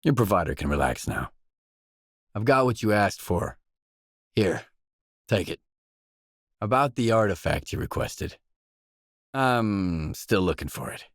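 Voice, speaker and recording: An actor playing a blunt, self-reliant mercenary stalker in a video game, speaking in a terse, matter-of-fact way. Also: male voice, fairly low pitch, lively intonation, a little slow, pauses often, fairly bright tone, dry recording, clean studio sound. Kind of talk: video game dialogue lines.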